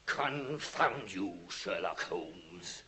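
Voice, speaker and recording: An actor playing a murderous, sly and outraged villain in a 1950s radio drama, theatrical and menacing. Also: male voice, sits low, expressive, very slow, heavily acted. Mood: bitter. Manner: theatrical, menacing, swinging from hiss to shout. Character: murderous middle-aged villain, sly, outraged when trapped. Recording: dull, noisy 1950s radio recording.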